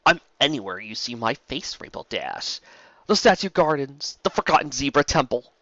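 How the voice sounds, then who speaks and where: A man's voice: medium pitch, big pitch swings, moderate pace, sharp tone, no echo, muffled recording. A man voicing a mocking, serpentine chaos spirit with a sarcastic, drawling, theatrical delivery in a fantasy story. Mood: sarcastic, idle.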